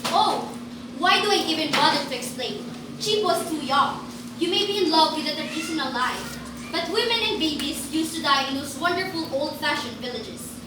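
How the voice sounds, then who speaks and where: A woman's voice: high-pitched, lively intonation, normal speed. A young woman playing an outspoken, indignant girl in amateur reader's theater, speaking emphatically and argumentatively. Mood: exasperated. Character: outspoken, indignant girl defending women and babies. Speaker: student performer in a reader's theater. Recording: echoey room, far from mic, noisy.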